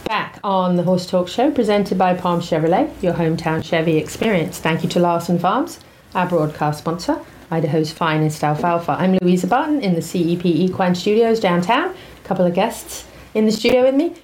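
A woman's voice: on the low side, animated, moderate pace, a little mellow, slight background noise, poor audio. A woman hosting a radio talk show, upbeat and chatty, reading sponsor spots.

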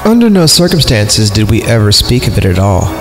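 A man's voice: lowish voice, sing-song, brisk. A man hosting a horror podcast, reading a creepypasta story in a wry way with joking asides, over music. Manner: wry, breaks off to mock the text. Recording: loud music under the voice. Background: eerie film score music.

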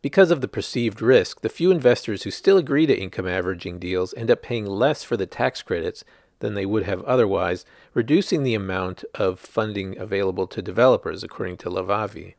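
A man's voice: fairly low pitch, fairly quick, vocal fry, a little mellow, dry recording, clean studio sound. A man, a journalist, reading a written news article aloud as scripted narration.

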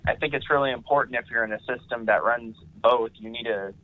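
A man's voice: medium pitch, fairly quick. A man hosting a casual podcast conversation, speaking earnestly and affirming the others with frequent "mm-hmm"s. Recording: tinny phone-like sound.

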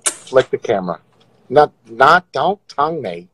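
A man's voice: medium pitch, sing-song, unhurried, heavy background noise. A man hosting a casual livestream, teasing and joking crudely with viewers on video calls. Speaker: livestream host.

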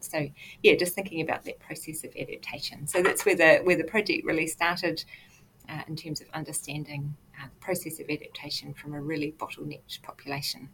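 A woman's voice: deep voice, flat delivery, fairly quick, a bit bright, a little hiss or hum, rough recording. A woman with a New Zealand accent, an academic guest explaining her research conversationally in an interview. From South Island of New Zealand. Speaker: academic guest, associate professor.

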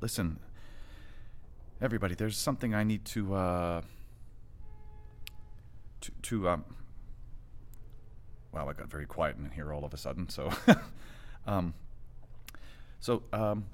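An actor playing a distracted, evasive and harried event organizer in a satirical audio drama, halting and apologetic. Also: male voice, low-pitched, a little hiss or hum. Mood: evasive, uneasy.

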